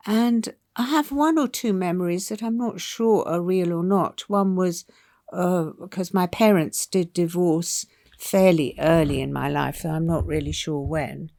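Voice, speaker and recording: An older woman from Iran, a writer telling her life story in a thoughtful, unhurried way with soft pauses. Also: fairly low pitch, no room sound, clean studio sound. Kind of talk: reflective personal storytelling.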